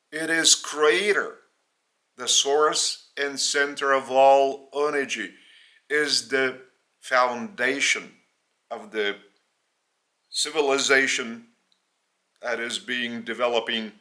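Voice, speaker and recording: A man from Lithuania with a Lithuanian accent, a native Lithuanian speaker, giving a spiritual teaching in English in short, halting phrases. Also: mid-range voice, bright, forceful tone. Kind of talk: spoken religious teaching in English.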